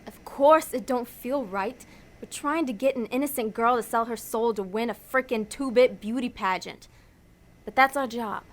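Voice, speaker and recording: A woman's voice: fairly high pitch, moderate pace, natural voice. A woman playing a cynical, hard-nosed demon schemer in an audio drama, blunt and insistent in everyday ungrammatical speech.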